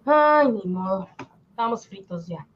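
A woman's voice: medium pitch, animated, measured pace, pitched below the performer's own voice. A woman playing a sardonic, world-weary commoner with wry colloquial talk, in an amateur radio drama on a dull home recording.

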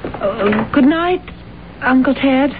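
A woman's voice: high-pitched, lively intonation, slow. An actress playing a genteel young woman, hesitant, admiring and easily flustered, in old-time radio drama style. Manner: hesitant, stammering, breathless politeness. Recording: tinny, faintly noisy vintage radio recording.

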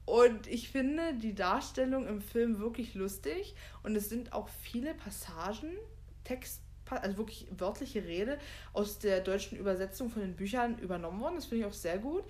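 A woman's voice: medium pitch, expressive, normal speed, darkish tone. A woman co-hosting a podcast, chatting casually and thinking aloud with loose asides. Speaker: podcast co-host. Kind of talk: casual chat, discussing a book and film.

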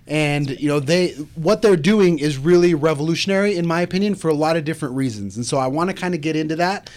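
A man's voice: on the high side, fast, darkish tone. A man hosting a podcast interview, upbeat and encouraging with his guests. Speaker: podcast host.

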